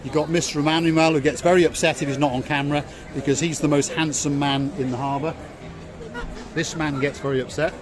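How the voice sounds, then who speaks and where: A man's voice: fairly high pitch, steady tone, a little fast. Chatty Welsh man on a harbour walk. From Wales. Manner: chatty, jokey, repeats words for emphasis. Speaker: vlog host. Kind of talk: casual walking tour commentary. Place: outdoor harbour at night. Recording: music playing behind.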